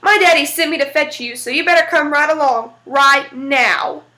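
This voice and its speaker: A woman's voice: high-pitched, moderate pace, sharp tone. A woman reading aloud, voicing a bragging, mid-sized boy devil who turns to begging. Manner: boastful, then begging.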